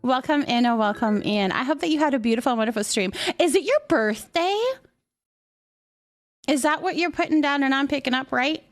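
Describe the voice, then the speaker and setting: A woman's voice: high voice, brisk, faint music behind. A woman hosting a livestream, chatting casually and greeting viewers in a bubbly, affectionate, chatty way. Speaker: live streamer hosting.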